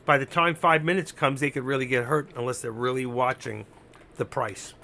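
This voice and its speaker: A man with a gravelly, hoarse voice that is giving out, doing unscripted live trading commentary, thinking aloud, repeating himself and instructing his viewers. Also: mid-range voice, steady tone, moderate pace, sharp tone, a little hiss or hum. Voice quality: gravelly, creaky. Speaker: live stock-trading streamer.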